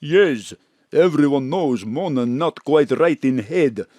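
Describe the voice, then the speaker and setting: A man's voice: fairly high pitch, expressive, slow pace, dark tone, no room sound, studio quality. A man playing a sinister Russian agent with a Russian accent, sneering in broken English.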